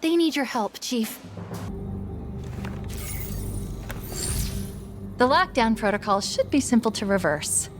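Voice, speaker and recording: A woman's voice: on the high side, lively intonation, moderate pace, music in the background, close to an everyday voice. A woman voicing a helpful, curious military AI companion in a video game, chatty, wry and eager. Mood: concerned, focused.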